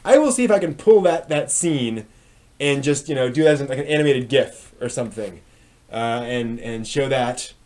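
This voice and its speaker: A man hosting a live stream, chatting casually and haltingly as he thinks aloud. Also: medium pitch, lively intonation, a little mellow.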